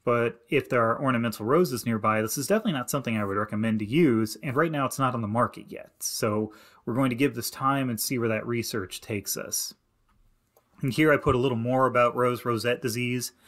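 A man narrating a slideshow lesson as an extension educator, giving plain, practical advice in a steady instructive way. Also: mid-range voice, brisk. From Indiana. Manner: plain, practical and advising, with many "you need to" instructions. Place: voice-over to slides.

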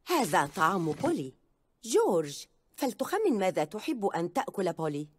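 Cartoon granny pig female actor: elderly, kindly, chatty, lively intonation, middle register. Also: mid-range voice, moderate pace, a little hiss or hum, natural voice. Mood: affectionate. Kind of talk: Arabic-dubbed preschool cartoon.

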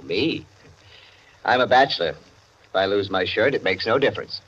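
A suave society bachelor in an old radio play. His husky delivery is animated, arch and insinuating, on a muffled, worn 1940s recording. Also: lowish voice, moderate pace. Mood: dry, knowing. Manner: urbane, insinuating, formal. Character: suave, arch bachelor broker at a supper party.

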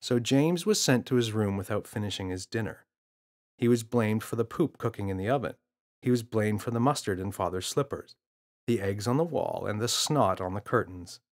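A man's voice: lowish voice, expressive, normal speed. A man narrating a children's audiobook as a storyteller.

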